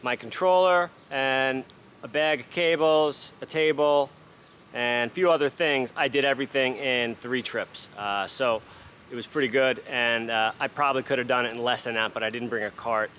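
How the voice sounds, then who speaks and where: A man's voice: medium pitch, moderate pace, a little hiss or hum. A man casually reviewing DJ sound gear, chatty and upbeat with plenty of fillers, during a sound check. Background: loud music playing.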